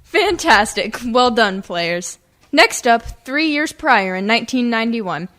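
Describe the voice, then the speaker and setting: Higher-voiced woman hosting radio comedy. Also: fairly bright tone. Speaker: host. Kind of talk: scripted comedy radio introduction.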